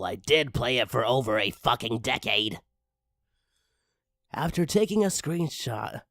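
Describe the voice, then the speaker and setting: A man narrating a comedic story aloud in a theatrical, mock-serious way, with sudden emphatic swings. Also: medium pitch. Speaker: narrator reading a parody creepypasta story aloud. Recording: music bed under the voice. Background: background music.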